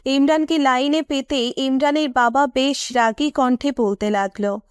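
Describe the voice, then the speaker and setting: A woman's voice: high voice, fairly even intonation, a little slow, smooth, no echo, studio quality. A woman narrator reading a romantic story in scripted storytelling style.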